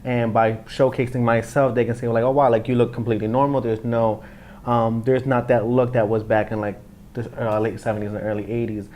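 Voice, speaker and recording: A man's voice: medium pitch, level tone, fairly quick, a little mellow. A man in his twenties answering an interview question in an earnest way, with some fillers. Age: 20s. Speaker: interview guest.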